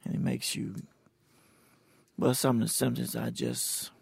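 An older man in his sixties talks with a deeply gravelly, husky voice. His delivery is plain and halting, unhurried as he tells his own story. The sound is dry and close. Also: steady tone, no echo. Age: 60s. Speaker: interviewee. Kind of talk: recorded personal conversation. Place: indoors at a cultural center.

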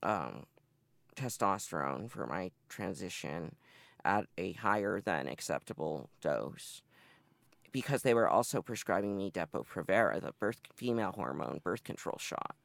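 Gravelly young man telling his story. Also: sits low, expressive, normal speed, a bit bright, dry recording. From northeastern Massachusetts. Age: 20s. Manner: candid, matter-of-fact storytelling. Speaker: interviewee. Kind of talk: oral history interview.

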